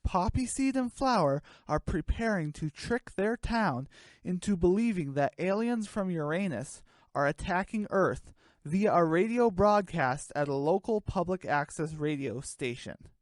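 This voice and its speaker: A woman's voice: on the low side, lively intonation, unhurried, a little mellow, dry recording. A woman narrating a scripted comic radio play in a storytelling style.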